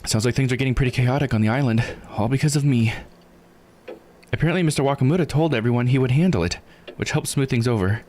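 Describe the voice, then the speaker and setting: Man putting on an earnest, higher-pitched young game heroine. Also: quick pace, a little mellow, roomy sound, long reverb tail, a little hiss or hum, natural voice. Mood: rueful. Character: young woman heroine, uneasy, determined, unable to die. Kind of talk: dramatic first-person visual novel read-aloud.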